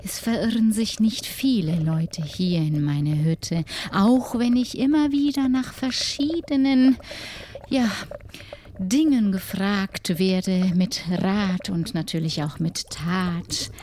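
A woman playing a solitary, mysterious hut dweller who guards pirate ghosts in a tabletop game, speaking deliberately in formal, old-fashioned words. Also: mid-range voice, darkish tone, a little hiss or hum, lightly acted. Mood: formal, reserved. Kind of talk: improvised tabletop roleplay.

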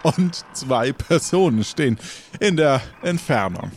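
A man leads an improvised adventure as game master. He sets scenes very slowly and with pauses, his mid-pitched voice rising and falling in a lively way. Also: slight background noise. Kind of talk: scene narration in improvised audio role-play.

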